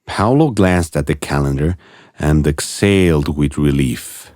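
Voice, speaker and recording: A man narrating a children's story from a script in an expressive storytelling manner. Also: very deep voice, measured pace, dry recording, clean studio sound.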